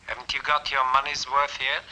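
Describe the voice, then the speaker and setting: A man's voice: mid-range voice, little pitch movement, fairly quick, bright, forceful tone, rough recording. A man playing a mocking, unstable renegade scientist in an old 1950s film, goading as he talks. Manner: sardonic, goading.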